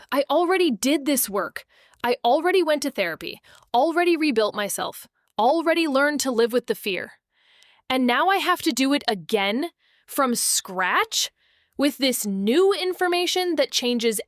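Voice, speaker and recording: A woman's voice: high voice, animated, measured pace, no room sound, studio quality. A woman playing a shaken, sardonic young woman in an intimate first-person audio drama monologue, wry, introspective and profane. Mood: anguished. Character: shaken, wounded, sardonic young woman, med-school dropout.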